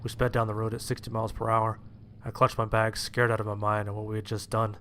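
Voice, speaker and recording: A man's voice: fairly low pitch, flat delivery, fast talker, fairly bright tone, a little hiss or hum, close to an everyday voice. A man playing a sheltered, anxious young survivor, narrating his story in the first person in a plain-spoken way. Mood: narrating, tense. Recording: music behind the voice.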